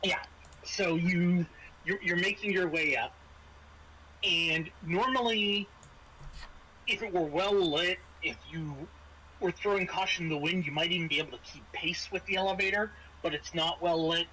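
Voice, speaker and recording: A man's voice: high voice, moderate pace, sharp tone, slight background noise, rough recording. A man narrating a tabletop horror game as game master, in a conversational, descriptive storytelling way.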